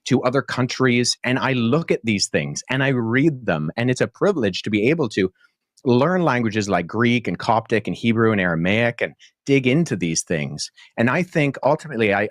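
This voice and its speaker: A young man speaking as a debate guest, earnest and articulate, laying out his reasoning at a measured pace with frequent fillers. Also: mid-range voice, animated, no echo, clean studio sound. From born in Pakistan, childhood in Jordan. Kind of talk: podcast debate answer.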